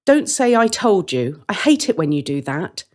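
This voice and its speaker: A woman voicing an earnest, easily exasperated young sister in a storybook tale, defensive and quick to bristle. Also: on the low side, very animated, a little mellow. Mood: annoyed.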